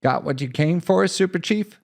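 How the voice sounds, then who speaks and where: A man's voice: fairly high pitch, normal speed, gravelly, dry recording, clean studio sound, voice pushed up. Man doing a wry, teasing soldier.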